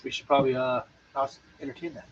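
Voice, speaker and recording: A man's voice: medium pitch, level tone, quick pace, a little hiss or hum. A man co-hosting a homebrewing stream, chatting casually in a laid-back, rambling way. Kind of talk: casual chat. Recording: lo-fi, dull livestream audio.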